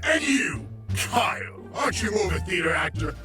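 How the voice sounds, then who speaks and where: A man's voice: high voice, very animated, normal speed, over music. A man playing a manic, taunting, petulant villain in an audio drama, ranting theatrically. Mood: mocking, gloating. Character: manic fourth-wall-breaking villainous being, taunting and petulant.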